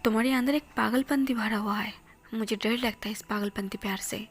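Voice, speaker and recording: A woman's voice: a little high, fairly even intonation, brisk, slightly rough. A woman whispering the lines of an anxious young middle-class woman in a storybook tale. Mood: fearful. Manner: soft whispering, fearful.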